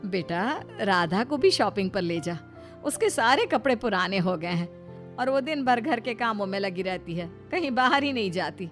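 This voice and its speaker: A woman's voice: mid-range voice, lively intonation, moderate pace, soft background music, natural voice. A woman voicing a protective middle-aged mother-in-law in a cartoon, concerned and reproving. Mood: firm, concerned.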